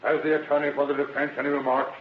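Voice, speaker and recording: An actor playing a solemn, measured judge issuing formal rulings in an old radio drama. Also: male voice, on the high side, little pitch movement, lightly acted. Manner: measured, official. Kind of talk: 1940s radio acting. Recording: echoey, muffled, noisy old radio recording.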